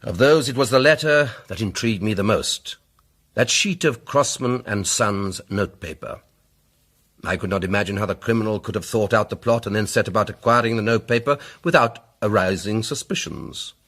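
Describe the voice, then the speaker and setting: An actor playing a pompous, self-assured English barrister sleuth in a radio drama, speaking with rhetorical, deliberate emphasis. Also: male voice, fairly low pitch, animated, a bit bright. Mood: assured, oratorical. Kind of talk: radio drama, courtroom-like oratory.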